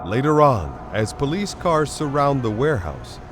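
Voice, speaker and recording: A man's voice: mid-range voice, big pitch swings, moderate pace, heavy background noise. A man giving scripted, dramatic narration as the narrator of a radio play. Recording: music underneath.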